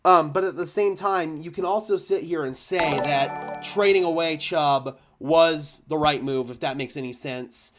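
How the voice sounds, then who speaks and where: A young man with a slightly raised pitch hosts a casual live stream for fellow fans. His voice is a little hoarse and worn. He chats loosely and gets loudly excited when he thanks donors. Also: a little slow, few pauses, faint music behind. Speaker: fan channel host. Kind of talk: live stream chat reacting to donations.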